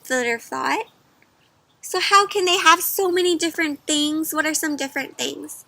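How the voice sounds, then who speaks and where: A woman reading a picture book aloud to children, expressively, pausing to ask the listeners questions. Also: sits high, creaky, pushed and bright, no echo. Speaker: read-aloud storyteller for children.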